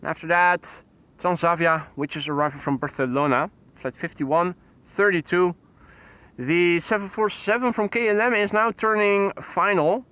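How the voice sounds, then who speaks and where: A man's voice: sits high, moderate pace, pushed and bright, clean tone. A man hosting a live plane-spotting stream, chatting and greeting viewers by name as he commentates. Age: 40s.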